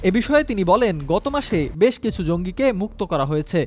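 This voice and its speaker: A man with a Bangladeshi accent narrating a scripted news report in a formal, measured manner. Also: high-pitched, lively intonation, a little mellow. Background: background music.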